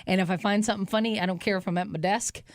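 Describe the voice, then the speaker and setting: A woman's voice: medium pitch, steady tone, racing, no echo. Teasing woman chatting on air, dry sound. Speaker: radio show co-host. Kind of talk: casual chat.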